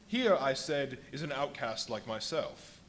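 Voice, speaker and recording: A man's voice: mid-range voice, very animated, measured pace, fairly bright tone. An actor playing a desperate, menacing invisible man in a live radio play, speaking in a commanding, theatrical way. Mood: brooding, intense. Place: live performance at a brewery venue. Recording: noisy live stage recording with ambience.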